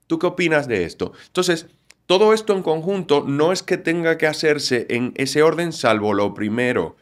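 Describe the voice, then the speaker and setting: A Dominican man with a Galician-tinged Spanish accent, explaining things in a lively way as a guest on an interview podcast. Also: mid-range voice. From Dominican Republic. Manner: animated, explanatory, acts out quotes. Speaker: communication trainer, guest.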